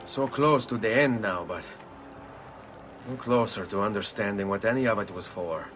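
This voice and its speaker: An actor playing a determined young male assassin in a game cutscene, speaking in a low, intense way. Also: moderate pace, fairly bright tone, soft background music, lightly acted. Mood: brooding. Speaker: game protagonist. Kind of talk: video game cutscene acting.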